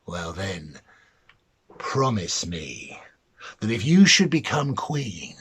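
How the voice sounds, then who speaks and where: Casting: male reader as small, crafty storybook imp, sly bargainer with slow, swooping delivery. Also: low voice, sing-song, a bit bright, slight background noise, voice pushed down, heavily acted. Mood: sly, bargaining. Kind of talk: storybook character reading.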